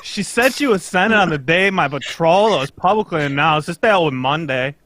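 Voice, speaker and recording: Man playing an anxious foreign king, high and animated. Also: normal speed, dry recording. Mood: anxious, formal. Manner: stilted broken English, dropped articles, earnest. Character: anxious, pompous foreign king with a secret scandal. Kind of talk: old-time radio mystery acting, read live.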